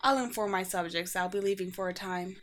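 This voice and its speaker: A woman playing a courteous, teasing, world-weary vampire queen in a roleplay audio drama, with formal, old-fashioned and gently wry phrasing. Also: medium pitch, a little fast, close to an everyday voice. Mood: gracious, playful. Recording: clear background noise with ambience.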